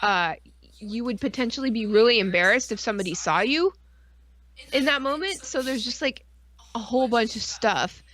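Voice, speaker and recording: A woman's voice: on the high side, normal speed. A woman co-hosting a podcast in casual chat, rambling and swearing freely as she tells stories and acts out asides. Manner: profane, rambling, animated storytelling with acted-out asides. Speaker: podcast co-host.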